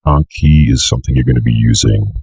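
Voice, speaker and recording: A young man with an extremely low voice, full of heavy vocal fry. He talks in an offhand, casual way, half to himself, as he walks through a software setup. The sound is dry and close. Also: a little fast, dry recording. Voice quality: gravelly, raspy. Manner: offhand, muttering to himself while working. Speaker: tutorial host. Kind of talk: casual unscripted software walkthrough.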